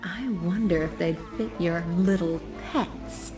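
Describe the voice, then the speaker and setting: A woman's voice: fairly low pitch, slow. An actress playing a snobbish aristocratic lady in a comic video game, drawling with disdain. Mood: disdainful. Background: music.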